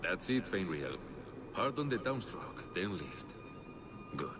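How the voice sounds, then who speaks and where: An actor playing a manipulative demon posing as a loving father, speaking in a slow, soothing way. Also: deep voice, sing-song, music in the background, heavily acted. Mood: coaxing.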